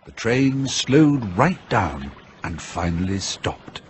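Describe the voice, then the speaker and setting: A measured storybook narrator. He speaks slowly and fairly low, with expressive, dramatic swells over game music. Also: a little hiss or hum. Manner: deliberate, dramatic. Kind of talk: scripted narration.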